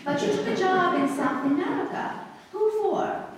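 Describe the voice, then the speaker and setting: A woman playing a sharp-tongued, imperious young society bride in a live radio drama. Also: very high voice, lively intonation, normal speed. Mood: cutting. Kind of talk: live old-time radio play acting. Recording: echoey hall, distant mic, faint noise.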